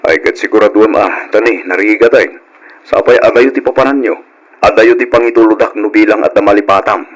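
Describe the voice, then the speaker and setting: An actor playing an easygoing husband and dutiful son in an Ilocano radio drama. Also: male voice, fairly low pitch, brisk, close to an everyday voice, rough recording. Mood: mildly concerned. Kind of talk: old-style radio drama acting in Ilocano. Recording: old radio drama recording.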